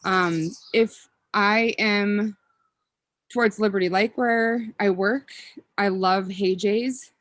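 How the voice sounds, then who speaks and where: A woman's voice: medium pitch, steady tone, slow, fairly bright tone, dry recording. A woman in her thirties from Minnesota, chatting casually as a podcast guest, rambling with ums and self-corrections. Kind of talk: casual interview chat.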